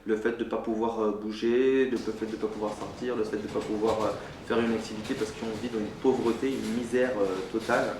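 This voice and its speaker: Fast, insistent young man from France. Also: fairly low pitch, flat delivery, background noise. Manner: insistent, hesitant with fillers. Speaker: interviewee. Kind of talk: earnest interview testimony. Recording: roomy, distant mic, music behind.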